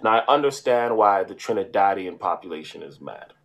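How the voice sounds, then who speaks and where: Man, low-pitched voice, casual podcast chat, long explanations, frequent "right?". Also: animated, brisk. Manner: explains at length, with many "right?" asides. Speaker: podcast co-host.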